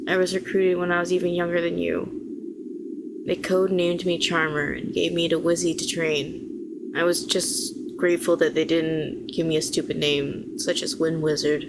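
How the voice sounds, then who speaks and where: Woman acting bitter, low-voiced telepath villainess. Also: heavy background noise, natural voice. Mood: resigned, wry. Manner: deliberate, sardonic, rhetorical questioning, condescending endearments. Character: weary, bitter female telepath villain, fallen hero. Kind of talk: dramatic audio roleplay addressed to the listener.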